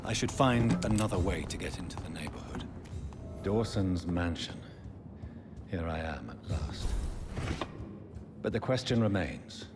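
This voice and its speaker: An actor playing a grave, courteous vampire doctor in a video game, speaking formally with a British accent. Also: male voice, sits low, lively intonation, over loud music. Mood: brooding. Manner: formal, measured, brooding. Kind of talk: video game dialogue acting.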